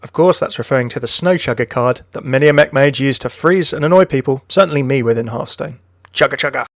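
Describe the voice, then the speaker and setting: A man's voice: mid-range voice, fast talker, dry recording, studio quality. A man presenting a scripted video narration about a game character. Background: music.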